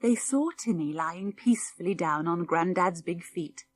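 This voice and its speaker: A woman reading a children's audiobook as narrator, in expressive scripted storytelling. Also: fairly low pitch, a little slow.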